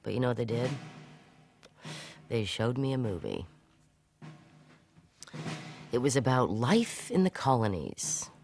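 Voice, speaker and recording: A woman's voice: rumbling low, very animated, measured pace, pauses often, a little mellow, heavily acted. An actress playing a defiant woman fugitive who recounts her escape in a wry, conversational, matter-of-fact way in an audio drama. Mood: wry, weary.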